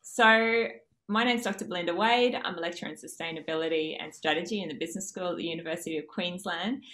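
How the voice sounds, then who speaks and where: A woman in her thirties with an Australian accent, a university lecturer giving a conference presentation over a video call in a measured way with frequent fillers. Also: on the low side, a bit bright.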